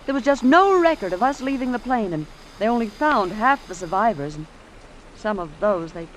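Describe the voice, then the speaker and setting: Woman acting anxious ambitious secretary, American, mid-pitched voice, earnest melodrama, old radio suspense, faintly noisy recording. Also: expressive, normal speed, lightly acted. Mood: confiding. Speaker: actress playing the secretary. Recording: vintage radio drama, faint background noise.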